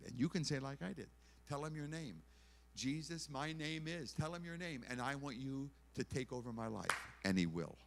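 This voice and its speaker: A man's voice: low voice, vocal fry. An older man, a concert pianist, giving an earnest, pleading testimony at a church concert and repeating his phrases. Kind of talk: evangelistic testimony from a stage.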